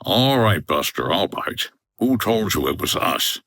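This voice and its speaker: Deep, deadpan noir tough guy. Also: a little slow, no echo, heavily acted. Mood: gruff, wry. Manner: deadpan, sardonic. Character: dry, unflappable American hotel owner, noir tough guy. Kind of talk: noir comedy radio drama acting.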